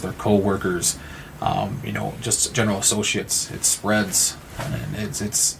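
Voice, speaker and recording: Low, flat-toned man thinking aloud. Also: vocal fry, a little hiss or hum. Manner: halting, repeats words while thinking aloud. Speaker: podcast participant. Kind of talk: reflective conversational discussion.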